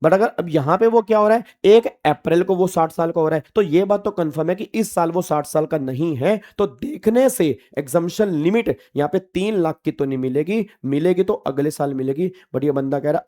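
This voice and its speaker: A man's voice: fairly high pitch, mellow. A man lecturing as a teacher, emphatic and familiar with his students, repeating his key points. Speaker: tax law teacher. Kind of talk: classroom lecture mixing Hindi and English.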